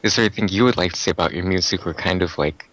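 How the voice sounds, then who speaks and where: A man's voice: low voice, very fast, fairly bright tone, no echo. A man hosting a casual interview, friendly and rambling as he asks questions, often laughing. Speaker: interviewer, internet radio host. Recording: dull lo-fi recording.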